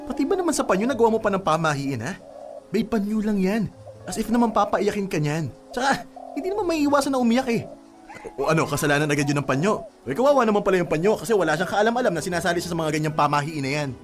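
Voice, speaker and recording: Young Filipino boyfriend for radio drama: fast-talking, coaxing, patient yet exasperated, music underneath. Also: male voice, high voice, sing-song. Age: young adult.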